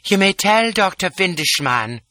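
A man playing a husky, imperious noblewoman. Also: high voice, big pitch swings, a little slow, bright, forceful tone, no room sound, voice pushed up, full character voice. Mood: contemptuous. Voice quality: rich, husky. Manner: contemptuous, imperious. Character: haughty furious woman, royal favourite.

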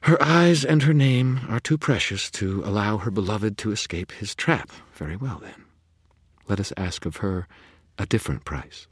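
Brief: sly purring fairy woman, a man voicing her high and breathy, dry close sound. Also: expressive, moderate pace, no echo. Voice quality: purring, teasing, whispery at times. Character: sly seductive female fairy, bargaining and purring. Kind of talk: audiobook character voice.

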